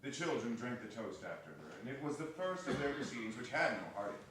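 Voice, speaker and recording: A man's voice: medium pitch, lively intonation, quick pace. A man narrating a literary story as a dramatic reading. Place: hall of arts center. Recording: echoey hall, distant mic, faint noise.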